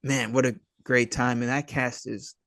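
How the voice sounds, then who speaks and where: A man's voice: medium pitch, little pitch movement, normal speed, fairly bright tone, no echo, studio quality. A man chatting casually as a filmmaker guest in an interview, hesitant and full of slang like dude and man. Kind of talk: casual chat.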